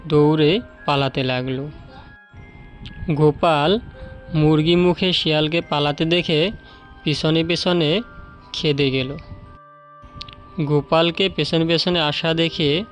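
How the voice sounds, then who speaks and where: A narrator telling a scripted children's story as a storyteller. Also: mid-range voice. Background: background music.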